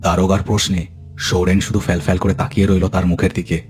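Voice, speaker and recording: Very deep Bengali-accented male horror narrator. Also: flat delivery, very fast, a little mellow. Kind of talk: dramatic scripted horror story narration. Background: music.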